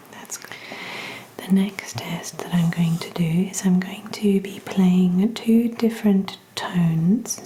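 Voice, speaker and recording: A woman playing a calm, attentive clinician in an ASMR roleplay, speaking in a breathy, gentle, reassuring whisper. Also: lowish voice. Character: calm, attentive clinician giving an ear exam. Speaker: ASMR performer. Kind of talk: soft-spoken ASMR roleplay.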